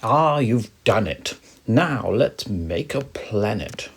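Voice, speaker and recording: Man playing a wise kindly sun, gentle, reassuring, animated, raspy. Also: lowish voice, takes it slowly, voice pushed up, heavily acted. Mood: encouraging. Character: wise kindly old sun, patient mentor.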